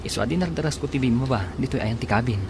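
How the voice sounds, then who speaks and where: Jealous boyfriend, radio drama, man acting: medium pitch, young, worried, evasive; noticeable background noise. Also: lively intonation, rapid-fire. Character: anxious, jealous young male sweetheart. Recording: clearly noisy, engine-like ambience behind.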